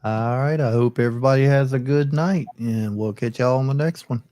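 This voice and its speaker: Male host, 40s, Southern American, medium pitch, casual delivery, very dry recording. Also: a little fast, mellow, dry recording. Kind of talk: casual live chat.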